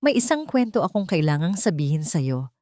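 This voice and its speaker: A woman's voice: on the low side, big pitch swings, normal speed, dark tone, no echo, clean studio sound. A woman voicing an elderly grandmother who confesses a long-kept secret in a broken, tearful voice. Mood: tearful confession. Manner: pleading, confessional.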